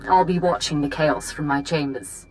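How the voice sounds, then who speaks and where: Actress as a low-voiced, poised imperial woman spymaster. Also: normal speed, faint music behind, natural voice, low-quality recording. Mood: composed, resolute. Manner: formal, polished. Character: poised, businesslike female imperial diplomat-spymaster. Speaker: imperial special operations chief. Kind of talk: video game voice acting.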